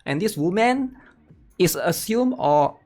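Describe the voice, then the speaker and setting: A man's voice: sits high, lively intonation, slow pace, darkish tone. A man hosting a gaming channel, casually commenting while reading online posts aloud and often tagging sentences with "right?". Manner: chatty, tags sentences with "right?".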